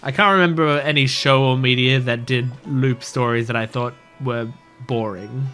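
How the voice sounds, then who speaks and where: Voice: mid-range voice, fairly even intonation, moderate pace, a bit bright, soft background music. A gamer giving casual, reflective gameplay commentary and thinking aloud. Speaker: gamer reacting on a let's play channel.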